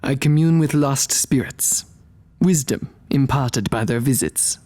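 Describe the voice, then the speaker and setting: A man's voice: medium pitch, a little slow, dark tone, natural voice. A man voicing a formal, mystical zebra shaman who speaks in rhyme in an audio drama. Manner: formal, rhyming.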